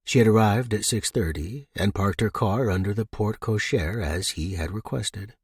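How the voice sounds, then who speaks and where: A man's voice: on the low side, normal speed, slightly rough, dry recording, clean studio sound. A man narrating a scripted story as an audiobook reader.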